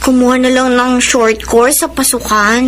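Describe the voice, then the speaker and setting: A woman's voice: fairly high pitch, flat delivery, moderate pace, fairly bright tone, slight background noise, natural voice. A woman playing a cheerful, considerate young student and devoted little sister in a radio drama, sweet and girlish. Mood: earnest, tender. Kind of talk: Filipino radio drama acting.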